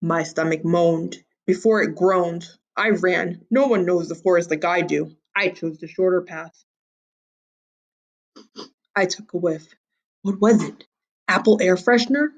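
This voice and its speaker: A woman's voice: lowish voice, a little slow, long pauses, muffled recording. A woman voicing a sly, hungry storybook wolf who narrates his tale to children in a wry, theatrical, confiding way. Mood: hungry, scheming.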